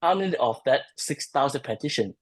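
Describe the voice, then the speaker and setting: A man's voice: mid-range voice, expressive, fairly quick. A man speaking as a guest in a webinar discussion, hesitant with many ums and restarts, over a video call. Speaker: policy research guest.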